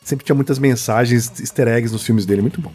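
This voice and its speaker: A man's voice: medium pitch, a little fast, a little mellow, husky, faint music behind. A man with a Brazilian Portuguese accent co-hosting a casual film podcast, admiring and prone to restarts. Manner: admiring, restarts often, says "cara". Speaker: podcast co-host.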